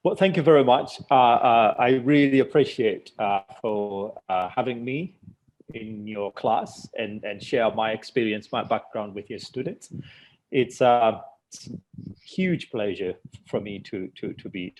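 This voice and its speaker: A man's voice: on the low side, unhurried, a little mellow, a little hiss or hum, poor audio. A Tanzanian man whose first language is Swahili, a journalist answering interview questions with many fillers and restarts. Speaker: journalist guest.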